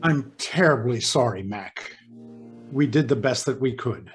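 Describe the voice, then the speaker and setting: Guy doing a sad soft-spoken doctor, old radio drama, mid voice, hushed and hesitant. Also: mid-range voice, lively intonation, unhurried, soft background music, natural voice. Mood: sorrowful, hushed. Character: gentle, regretful male hospital doctor.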